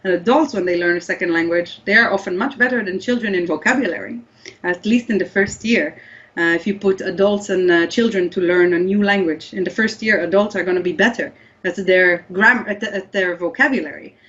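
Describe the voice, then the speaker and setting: A woman's voice: fairly low pitch, brisk, a bit bright. A woman whose first language is Hebrew, explaining research in an interview and often restarting her sentences. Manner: thoughtful, many restarts and fillers. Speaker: guest researcher. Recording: slightly dull lo-fi audio.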